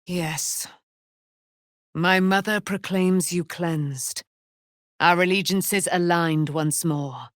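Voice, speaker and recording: An actress playing a proud, wrathful immortal warrior, daughter of a moon goddess, declaiming in grand archaic speech for a fantasy game. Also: female voice, lowish voice, takes it slowly, pauses often, fairly bright tone, no echo, clean studio sound. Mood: solemn, grave. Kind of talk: theatrical fantasy game voice acting.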